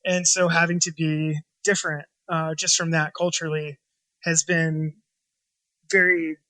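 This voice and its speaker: A chef and restaurant owner from Washington State, talking casually in an interview and rambling with lots of fillers and restarts. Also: male voice, fairly high pitch, little pitch movement, measured pace, bright, forceful tone, dry recording. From Washington State, USA.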